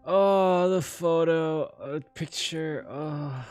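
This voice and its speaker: A man giving casual live reaction commentary as a gaming streamer, musing aloud in halting phrases. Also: a little high, a little mellow, faint music behind.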